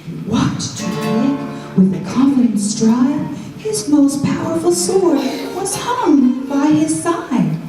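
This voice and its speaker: A woman telling children a rhyming fairytale in a dramatic, theatrical, expressive style. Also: high-pitched, slow. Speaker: children's storyteller. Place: school show before children. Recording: echoey hall, distant mic, faint music.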